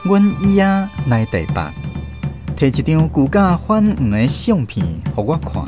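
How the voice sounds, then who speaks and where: A man with a Taiwanese accent hosting and narrating a scripted radio programme in an expressive storytelling manner. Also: on the high side, unhurried, over music.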